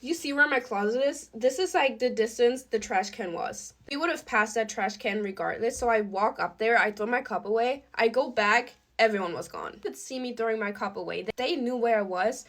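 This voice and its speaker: A woman's voice: on the high side, normal speed, hardly any pauses. A woman content creator telling a casual personal story to camera, acting out what others said as she goes. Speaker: social media creator.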